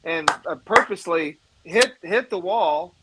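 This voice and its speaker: A man with a fairly high voice chats on a live panel. He tells a loose, rambling story, padding it with "uh" and breaking off to restart his sentences. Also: animated, measured pace, very noisy. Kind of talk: casual chat, telling stories.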